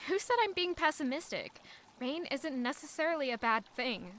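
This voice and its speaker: A woman's voice: high-pitched, very animated, normal speed, fairly bright tone, a little hiss or hum. A woman voicing a troubled young maid who answers curtly and defensively in an audio drama. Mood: guarded, curt. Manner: curt, defensive replies.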